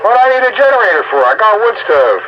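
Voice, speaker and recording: A man's voice: high-pitched, big pitch swings, very fast. A man chatting casually with fellow radio operators. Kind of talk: casual two-way radio chat. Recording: muffled radio audio with faint static.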